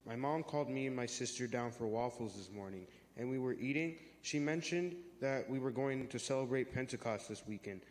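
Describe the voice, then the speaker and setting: A man's voice: medium pitch, fairly quick, few pauses, slight background noise, natural voice. A young man playing a friendly churchgoing teenage boy who explains things in a reader's theater, in an echoey hall. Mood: friendly, explaining. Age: teenager or young adult.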